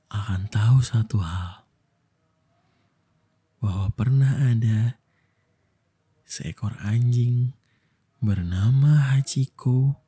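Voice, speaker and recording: Soft, husky, slow-speaking young man. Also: on the low side. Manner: slow, gentle, soothing delivery with long pauses. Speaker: ASMR voice actor telling a bedtime story as a boyfriend. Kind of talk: ASMR bedtime storytelling.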